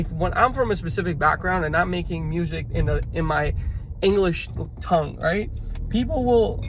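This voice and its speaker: Reflective young man vlogging from his car. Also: sits high. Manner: rambling, reflective, restarts sentences often. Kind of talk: casual talking-to-camera vlog. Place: in a car on the way to lunch. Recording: faint car noise behind.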